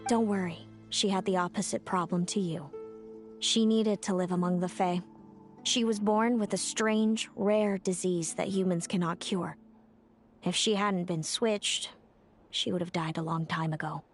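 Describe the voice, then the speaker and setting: Wounded fae older sister over music. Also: female voice, lowish voice, fairly even intonation, unhurried. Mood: calm, explaining. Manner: dramatic, wounded, confessional delivery. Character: bitter, tormented fae older sister with prophetic visions. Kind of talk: video game voice acting. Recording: music under the voice. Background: background music.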